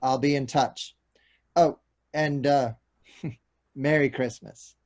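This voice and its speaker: An actor playing a courteous, apologetic police detective who explains bad news in a measured, official way at a table read. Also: male voice, mid-range voice, steady tone, a little mellow, lightly acted. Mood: reassuring. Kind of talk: stage comedy-drama table read.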